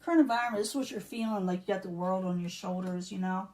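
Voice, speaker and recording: A woman reading tarot cards in a casual, chatty way, losing her voice and clearing her throat now and then. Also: medium pitch, fairly even intonation, fast, a little mellow, distant mic. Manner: chatty, repeats herself, many um fillers. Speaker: tarot reader.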